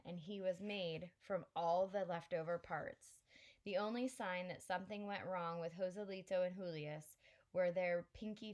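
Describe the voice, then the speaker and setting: A woman narrating a children's novel aloud in a clear, steady storytelling voice. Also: lowish voice. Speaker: audiobook reader.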